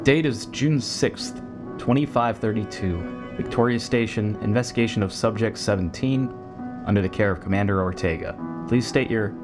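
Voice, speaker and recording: A man's voice: lowish voice, moderate pace, lightly acted. Man acting a stern commander over prominent music. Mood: weary official. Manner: clipped, commanding, curt. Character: stern, impatient male military commander, intense investigator. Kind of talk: sci-fi audio drama acting.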